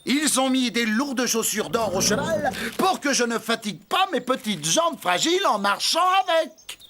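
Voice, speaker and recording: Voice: mid-range voice, sing-song, moderate pace, fairly bright tone, slight background noise. An actor voicing a vain, boastful little male scarab beetle in a cartoon, with pompous, exclamatory delivery. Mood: gloating. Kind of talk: cartoon character acting. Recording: music behind the voice.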